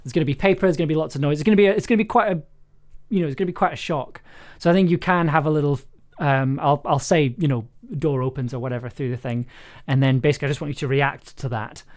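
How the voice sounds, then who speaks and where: Casual audio drama director type: chatty, giggly, rambling, medium-pitched voice, talking an actor through a session. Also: quick pace, a little mellow. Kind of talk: casual livestream chat and recording direction.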